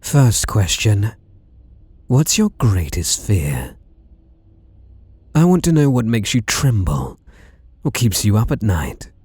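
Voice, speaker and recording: A British man plays a masked slasher with an obsessive, possessive streak, made for intimate ASMR roleplay. He is close and dry, drawing his words out slowly. The pitch swoops widely as he teases and flirts with soft menace. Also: very animated, slightly rough, no room sound, exaggerated voice. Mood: teasing menace. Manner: teasing, softly menacing, drawn-out delivery with sudden swoops.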